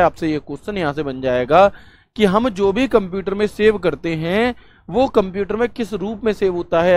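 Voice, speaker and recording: Male coaching teacher, high-pitched, repetitive question-and-answer lecture style. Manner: repetitive, motivational, asks and answers his own questions. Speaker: exam-prep computer teacher.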